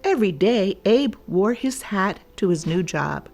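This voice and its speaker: A woman reading a storybook aloud for children, deliberately and addressed straight to young listeners. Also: mid-range voice, animated, vocal fry, a little mellow, soft background music.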